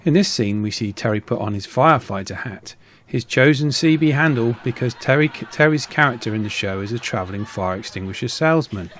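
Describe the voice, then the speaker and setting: A middle-aged man narrates a hobby video in a medium-pitched voice. He reads from a script as the host, in a voice-over style. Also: expressive, a little fast, hardly any pauses, fairly bright tone, slight background noise. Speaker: YouTube host, radio hobbyist.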